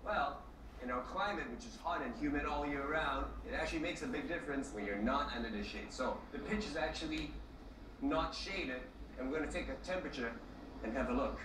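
A man's voice: on the high side, a little fast, background noise. A young man with a Malaysian accent, working as a field reporter and talking casually to camera. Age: young adult. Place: car and open stadium stands. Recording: roomy distant sound, loud music, TV re-recorded.

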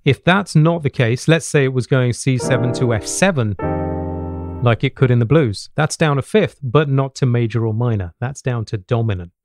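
A middle-aged man talks through a piano lesson at an ordinary pitch. He explains step by step and often adds a short question to check that it is clear. Piano playing is heard clearly along with his close-miked voice. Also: moderate pace. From England. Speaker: jazz piano teacher. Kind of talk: instructional lesson talk with piano demonstrations. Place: small home study with bookshelves. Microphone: large studio mic on boom arm, close.

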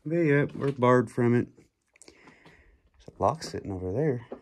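Male outdoor vlogger, medium pitch, winded from walking, casual explorer narration, noisy handheld recording. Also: lively intonation, a little slow. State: out of breath from walking. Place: outdoors in woods and hillsides.

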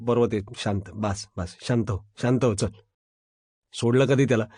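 A man's voice: medium pitch, fast, a little mellow, breathy edge, dry recording, clean studio sound, pitched below the performer's own voice, natural voice. A man voicing a furious, commanding police officer in a crime audio drama, menacing, with short repeated orders and questions. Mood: curt, calming. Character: furious, commanding male police officer interrogating his subordinates.

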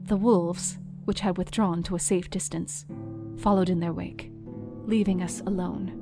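An actress playing a grieving young Victorian wife, narrating an audio drama in a solemn, dramatic way. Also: female voice, on the low side, fairly even intonation, a little slow, vocal fry, over music. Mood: solemn, sorrowful.

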